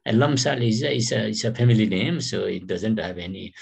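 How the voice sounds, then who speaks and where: A man's voice: lowish voice, a little fast, vocal fry, a little mellow. A man from Nepal, a native Nepali speaker, answering interview questions over a video call and often repeating words. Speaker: guest, journalist.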